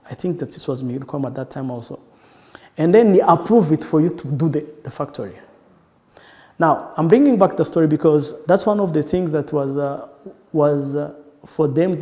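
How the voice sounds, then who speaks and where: Rwandan man, halting, in a reverberant room. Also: a little high, mellow. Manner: halting, searching for words with frequent restarts. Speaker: entrepreneur. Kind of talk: personal recollection in an interview.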